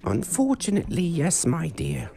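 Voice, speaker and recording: A man doing a fussy old witch lady, high and quavery. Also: big pitch swings, normal speed, gravelly, dark tone. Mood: worried. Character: kindly old female witch, fussy and gentle. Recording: guitar music behind.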